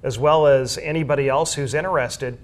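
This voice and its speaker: A man's voice: mid-range voice, steady tone, normal speed. A middle-aged man with an American accent, giving earnest, thorough explanations as an interview guest. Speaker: guest, school sports information director and journalism teacher.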